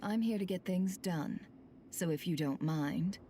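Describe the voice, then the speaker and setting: A woman playing a sly, self-possessed boss in a video game, cool, dry and deliberate in manner. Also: lowish voice, dark tone, a little hiss or hum, natural voice. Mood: cool, knowing.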